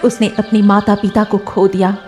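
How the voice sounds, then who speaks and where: A woman's voice: medium pitch, flat delivery, moderate pace, a little mellow, soft background music. A woman reading scripted children's story narration as the narrator.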